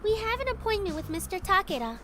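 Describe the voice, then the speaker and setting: A woman voicing a polite, soft-spoken, earnest young academy student in an anime-style game. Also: very high-pitched, big pitch swings, quick pace, noisy background. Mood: polite, formal. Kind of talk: anime-style visual novel voice acting.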